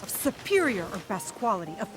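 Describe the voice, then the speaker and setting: An actress playing a sardonic young wife with a biting, sarcastic way of talking. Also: female voice, medium pitch, very animated, fairly quick, a loud crowd, close to an everyday voice. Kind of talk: radio drama acting.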